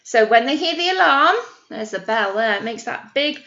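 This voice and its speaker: Animated high-pitched British woman teaching young children. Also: normal speed, lo-fi sound. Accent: British English. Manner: animated, encouraging, exaggerated sing-song emphasis with frequent questions. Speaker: primary or nursery teacher reading a picture book to children. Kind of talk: interactive read-aloud lesson for young children.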